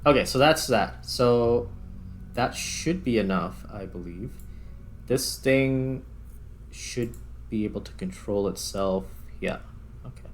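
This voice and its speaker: A man giving casual gameplay commentary, thinking aloud in a halting, musing way. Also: medium pitch, a little hiss or hum. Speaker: gaming video host.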